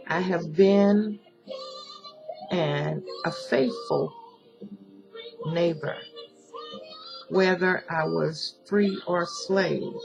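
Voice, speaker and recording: A woman giving a solemn recitation of a protest poem, deliberate and pleading, pausing between short phrases. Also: lowish voice, gravelly, poor audio. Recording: music behind the voice. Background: music.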